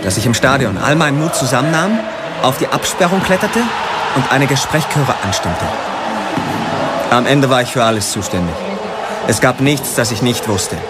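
A man playing a fervent football ultra over loud music. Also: medium pitch, lively intonation, normal speed, lightly acted. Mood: nostalgic, proud. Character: fervent football ultra recalling his life as chant leader. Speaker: narrator of an audio play. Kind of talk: dramatized first-person audiobook narration. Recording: loud music and stadium crowd behind.

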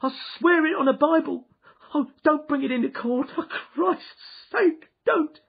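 Panicked young thief, high and shrieking, husky edge, lo-fi. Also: male voice, expressive, moderate pace. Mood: desperate pleading. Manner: shrieking, desperate, sobbing. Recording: dull lo-fi recording.

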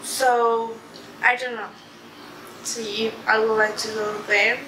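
A young Colombian woman speaks slow, halting English with a Spanish accent, often pausing to find words and laughing softly. Her voice sits fairly high. She is picked up from across an echoey living room with some background noise. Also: flat delivery, recorded from a distance. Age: young adult. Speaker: interviewee. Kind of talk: casual interview in a second language. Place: living room, sitting on a couch. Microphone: camera across the room.

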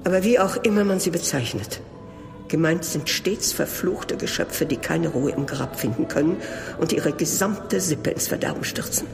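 A solemn monk in a gothic horror audio drama. His voice sits a little high for a man and carries portentous, pious warning. Music plays clearly behind him. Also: expressive, normal speed. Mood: grave, foreboding.

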